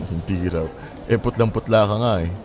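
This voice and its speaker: A man's voice: lowish voice, moderate pace, noisy background, close to an everyday voice. A man playing a confident, friendly success in a radio drama, teasing in an easygoing way with bits of English. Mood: teasing, casual. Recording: music bed under the voice.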